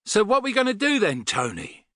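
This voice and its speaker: Man doing a brash, excitable young crewman. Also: high-pitched, normal speed, no room sound, studio quality, pitched above the performer's own voice. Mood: agitated. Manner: blurting, exclaiming, slangy. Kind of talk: audiobook character voice.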